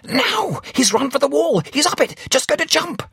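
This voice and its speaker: A man voicing an excitable male army captain, giving a high, urgent, breathless running commentary from his lookout post. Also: normal speed, vocal fry, no room sound, clean studio sound, exaggerated voice. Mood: yelling.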